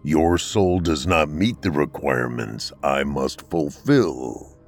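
A man voicing Death, a deep, echoey male reaper who speaks slowly and calmly with empathy. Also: faint music behind, exaggerated voice. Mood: solemn. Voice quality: deep, echoey, slow and measured.

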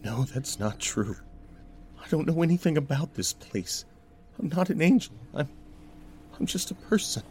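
A man voicing a bewildered, frightened ordinary man in an audio horror story, with halting, breathy protests. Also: fairly high pitch, expressive, darkish tone, slight background noise, voice pushed up, close to an everyday voice. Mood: shocked, fearful.

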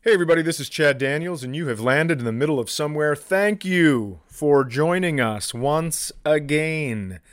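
A man's voice: a little high, animated, moderate pace, hardly any pauses, soft background music. A man hosting a comedy podcast, bantering casually in a playful, joking manner.

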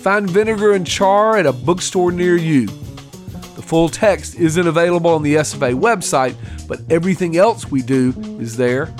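A man's voice: a little high, moderate pace. A man, a podcast host, reads scripted narration in the style of closing credits. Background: guitar music.

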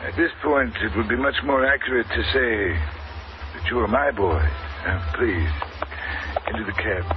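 An actor playing a suave, menacing villain with oily courtesy and formal, precise diction, in a 1950s radio drama. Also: male voice, lowish voice, normal speed, natural voice. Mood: smooth menace. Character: suave, menacing male villain posing as perfume dealer. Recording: dull old radio recording, noisy.

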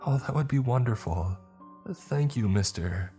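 A man's voice: mid-range voice, animated, measured pace, mellow, faint music behind, pitched above the performer's own voice, lightly acted. A man voicing a jittery woman who is lost in a graveyard at night, nervous and apologetic. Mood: anxious.

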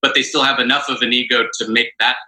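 Flat, sharp-toned analytical man. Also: medium pitch, quick pace, poor audio. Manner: analytical, explains step by step. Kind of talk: casual group discussion.

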